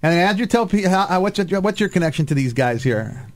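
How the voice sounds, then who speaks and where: A middle-aged man hosting a casual live radio show, chatty and folksy, with halting phrasing. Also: high-pitched, lively intonation. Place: radio studio. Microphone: studio microphone.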